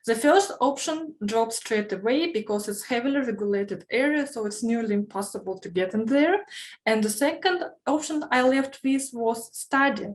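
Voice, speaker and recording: Measured woman. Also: on the high side, poor audio. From Kazakhstan. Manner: careful, measured, slightly formal phrasing with small grammar slips. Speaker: program trainee sharing her career story. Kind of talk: prepared personal testimonial.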